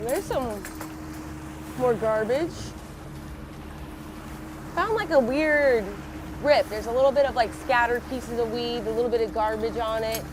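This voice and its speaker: A woman's voice: fairly high pitch, a little slow, pauses often, noisy background. A young woman hosting a fishing vlog outdoors on a boat, a little nasal from a head cold and upbeat as she talks. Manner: upbeat, excited when a fish hits. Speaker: fishing channel host. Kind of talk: casual vlog narration on a boat.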